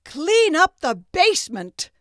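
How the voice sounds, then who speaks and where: A woman's voice: extremely high pitch, very animated, slow pace, dry recording, clean studio sound, pitched above the performer's own voice, full character voice. A woman voicing a young male apprentice in a storybook reading, calling out orders in a raised, commanding way. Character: foolish young man, rabbi's apprentice giving orders.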